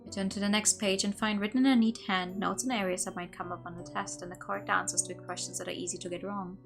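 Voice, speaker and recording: A woman's voice: mid-range voice, fast talker, gravelly. Woman streamer reading a game aloud. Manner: expressive reading with laughing asides. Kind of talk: reading a visual novel aloud with commentary. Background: faint game music.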